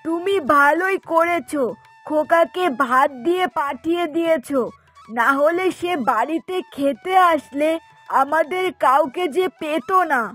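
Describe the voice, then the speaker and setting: Very high-pitched, agreeable mother-in-law, voiced by a woman. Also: unhurried, fairly bright tone, faint music behind. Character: agreeable, homely middle-aged mother-in-law. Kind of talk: cartoon story dialogue.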